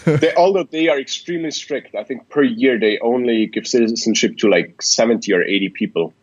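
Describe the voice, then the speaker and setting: A man from Switzerland, answering interview questions in a relaxed, thoughtful way with frequent restarts. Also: medium pitch, a little fast, no echo, lo-fi sound. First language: Swiss German. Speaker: guest. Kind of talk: podcast interview.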